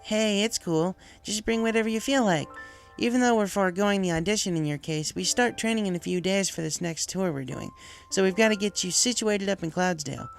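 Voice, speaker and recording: A self-assured mare who captains a flying team in a storybook, as put on by a man. The voice has a gravelly creak, casual and straight to the point yet reassuring, with music underneath. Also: high voice, fairly quick, pitched above the performer's own voice. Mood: breezy, businesslike. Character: confident, understanding female pegasus flight-team captain. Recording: music behind the voice.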